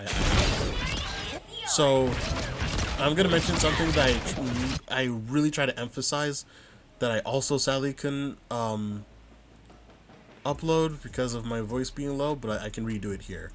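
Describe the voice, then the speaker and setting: A man calmly breaking down his own match footage, thinking aloud and stopping to rewind and check his plays. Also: mid-range voice, background noise. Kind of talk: casual vod review commentary.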